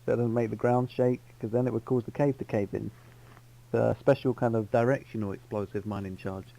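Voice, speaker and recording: A man's voice: mid-range voice, fairly even intonation, brisk, vocal fry, warm tone, low-quality recording. A man in online game voice chat, telling a rambling in-character backstory and correcting himself as he goes. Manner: rambling, self-correcting storyteller. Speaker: roleplay player. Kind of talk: in-character roleplay storytelling.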